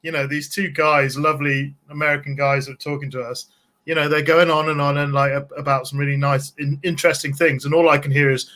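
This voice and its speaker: A man with a Welsh accent and a slightly high voice chats as a guest. He jokes around and laughs often. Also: flat delivery, fairly quick, bright, forceful tone. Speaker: guest filmmaker. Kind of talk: casual chat.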